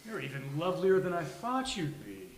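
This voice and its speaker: A man's voice: on the high side, wildly swooping pitch, moderate pace. A man reading a dry, sarcastic sitcom lawyer at a live table read, with hissy room sound. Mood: wry. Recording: roomy live venue, clear hiss.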